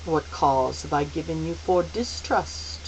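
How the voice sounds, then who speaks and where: A woman voicing a bland, taunting storybook villain, slightly low, slow and drawn out. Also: animated, darkish tone, slight background noise, low-quality recording. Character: man in the chair, cold, sly, mocking adult male.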